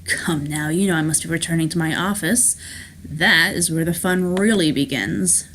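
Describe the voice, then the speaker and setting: A woman's voice: low voice, moderate pace, a bit bright, slight background noise. A woman voicing a suave, unflappable rich male villain who savors his words theatrically in a tabletop game. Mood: gleeful, unhurried.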